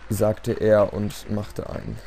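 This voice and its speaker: A man's voice: on the low side, completely flat delivery, takes it slowly, dark tone, a little hiss or hum. A man giving casual, self-deprecating gameplay commentary in a resigned, rambling way. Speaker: let's play commentator. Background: game music.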